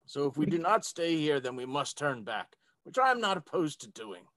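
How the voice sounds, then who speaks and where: Higher-voiced man playing a tabletop game. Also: big pitch swings, normal speed, fairly bright tone, dry recording. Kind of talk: casual in-game discussion.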